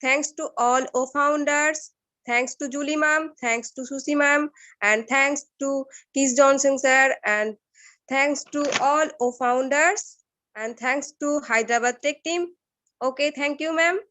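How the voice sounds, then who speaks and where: A woman with an Indian accent and a high voice gives a polite, formal thank-you on a video call. She keeps her thanks short and repeats them. The audio sounds dry. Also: measured pace, fairly bright tone, dry recording. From West Bengal, India. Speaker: participant giving thanks.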